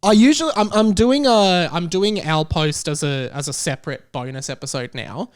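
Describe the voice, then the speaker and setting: A man's voice: a little high, normal speed, clear, resonant tone. An Australian man hosting a podcast in casual comedic chat, riffing jokily on absurd details. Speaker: podcast host.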